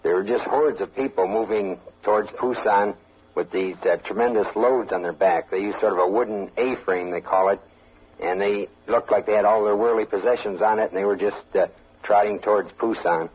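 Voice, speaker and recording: An American man speaks as a soldier giving his account, hesitant and conversational. His voice is low and husky, on a dull old radio recording. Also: moderate pace. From United States. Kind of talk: conversational interview recollection.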